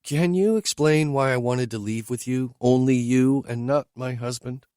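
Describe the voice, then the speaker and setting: A man's voice: mid-range voice, moderate pace, a little mellow, smooth, dry recording, studio quality, pitched above the performer's own voice, natural voice. A man voicing a blunt, direct young woman in her late twenties, speaking in a raised voice for her. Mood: questioning. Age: late 20s. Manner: blunt, straight to the point.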